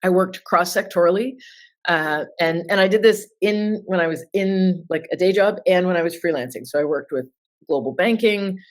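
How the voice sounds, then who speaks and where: A woman's voice: on the low side, moderate pace. A woman in her forties from Toronto, giving chatty, informal answers as an interview guest over a remote call. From Toronto, Canada. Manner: chatty and informal, with filler words. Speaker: interview guest, sustainability consultant.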